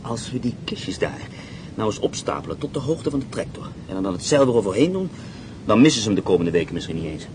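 A bold scheming escaped prisoner. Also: male voice, on the low side, very animated, quick pace, noisy background. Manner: confident, persuasive, mocking. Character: bold scheming male prisoner of war on the run. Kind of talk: radio play acting.

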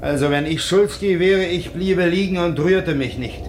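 A man's voice: high-pitched, slight background noise, natural voice. An actor playing a grumbling, chatty rural coachman in a German radio play, with a folksy manner. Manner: folksy, unhurried.

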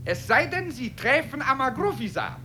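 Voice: high-pitched, big pitch swings, normal speed, a little hiss or hum. An actor voicing an eagle, a keen messenger of the wilderness, declaiming solemnly in a 1950s German radio play. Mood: reporting. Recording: old radio drama with music underneath.

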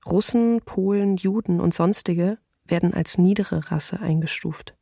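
A woman playing a sombre eyewitness of wartime occupation in a documentary radio play, measured and matter-of-fact. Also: lowish voice, vocal fry, dark tone, no echo, clean studio sound. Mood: sombre, restrained.

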